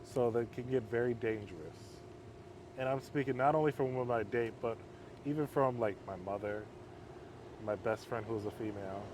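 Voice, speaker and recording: Male counselor guest, measured and flat-toned, casual talk recorded outside near traffic. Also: mid-range voice. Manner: calm, measured, self-described monotone. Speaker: interview guest, halfway home counselor. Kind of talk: casual conversation. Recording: outdoor street noise.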